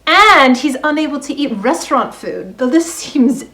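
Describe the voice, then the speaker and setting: A woman's voice: sits high, expressive, normal speed, pushed and bright, echoey room, exaggerated voice. A woman playing a prim, reserved, genteel lady librarian in a dramatized novel, speaking politely and properly. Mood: private musing.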